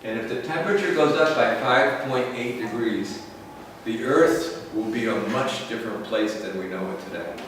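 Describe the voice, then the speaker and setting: An American man giving a slow, explanatory lecture to a school class, often checking in with "okay?". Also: mid-range voice, background noise. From United States. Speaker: English teacher. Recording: echoey classroom from far off.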